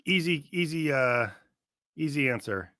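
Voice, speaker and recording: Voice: mid-range voice, animated, measured pace, bright, forceful tone, no room sound. Co-host chatting, close and dry. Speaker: podcast co-host. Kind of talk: casual chat, riffing.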